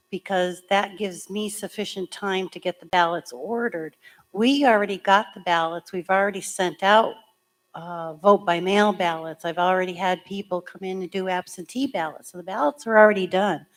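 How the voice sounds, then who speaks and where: A woman town clerk with a hoarse voice, chattily explaining voting procedure step by step at a public meeting. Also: fairly low pitch. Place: school meeting room.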